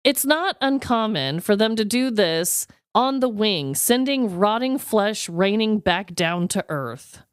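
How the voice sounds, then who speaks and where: A woman co-hosting a podcast, chatting and reading stories aloud in an animated, exclaiming way. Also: medium pitch, takes it slowly, few pauses, clear, resonant tone, no echo, studio quality. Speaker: podcast co-host.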